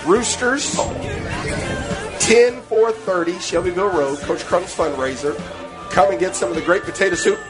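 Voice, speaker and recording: A man hosting live sports talk radio from a restaurant, excitable and full of banter and exclamations. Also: high voice, moderate pace, over music. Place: busy restaurant, live remote broadcast. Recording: busy restaurant crowd behind. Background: restaurant crowd.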